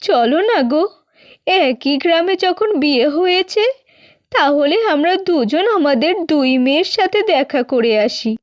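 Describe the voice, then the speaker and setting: Woman doing sad pleading middle aged mom in a cartoon, high voice, dry recording. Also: animated, no echo. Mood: longing, pleading. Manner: pleading, slow, plaintive. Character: tender middle-aged mother missing her married daughters. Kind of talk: scripted cartoon dubbing.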